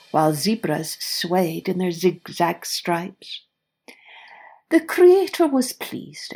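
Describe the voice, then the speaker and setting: A woman narrating a scripted children's story as storyteller and host, with expressive, deliberate phrasing. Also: medium pitch, slightly rough.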